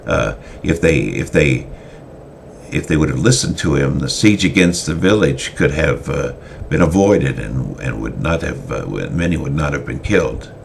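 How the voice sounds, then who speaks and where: A man giving a semi-dramatic reading of his own story, halting now and then with fillers and restarts. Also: very deep voice, animated, vocal fry, slight background noise.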